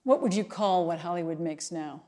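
A woman's voice: on the low side, normal speed, dark tone. A woman asking an interview guest short, direct questions.

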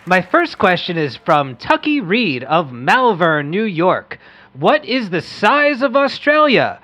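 A woman's voice: on the low side, big pitch swings, takes it slowly, a bit bright, a little hiss or hum, exaggerated voice. A woman playing an eager, wholesome young boy radio host in an old-fashioned radio play reading. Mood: eager, cheerful.

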